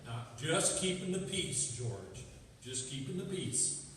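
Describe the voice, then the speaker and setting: A man's voice: on the high side, big pitch swings, takes it slowly, fairly bright tone, full character voice. A man playing a folksy, self-important small-town sheriff in a live radio play. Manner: folksy rustic grammar. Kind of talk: live radio theatre acting. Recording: echoey noisy hall, distant mic.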